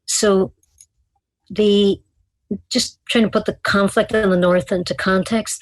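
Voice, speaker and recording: A woman's voice: on the low side, dry recording, poor audio. An Irish woman in her thirties, a guest on a video call, earnestly explaining history with frequent ums and restarts. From Ireland. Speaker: guest, community activist. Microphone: video call webcam.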